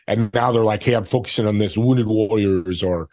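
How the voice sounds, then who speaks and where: A man's voice: on the low side, normal speed, darkish tone, no echo, studio quality. A man answering interview questions about his work, plain-spoken and often talking in short lists. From United States. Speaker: podcast host being interviewed.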